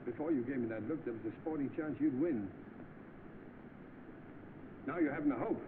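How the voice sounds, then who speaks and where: Man acting as determined rich English gentleman, mid-pitched voice, clipped, polite, vintage film drama, thin noisy soundtrack. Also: normal speed, narrow, tinny audio, close to an everyday voice. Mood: defiant. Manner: polite, clipped, upper-class understatement.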